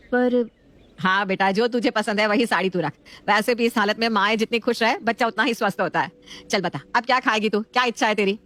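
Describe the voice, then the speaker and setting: A woman's voice: a little high, a little fast, a bit bright, lightly acted. A woman voicing a doting, emotional middle-aged mother in a family story, fussing and emphatic.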